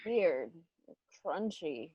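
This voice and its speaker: A woman playing a bewildered, distraught mother in a radio drama, speaking in a breathless, halting way. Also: deep voice, sing-song, dark tone, husky, no echo, dull audio, heavily acted.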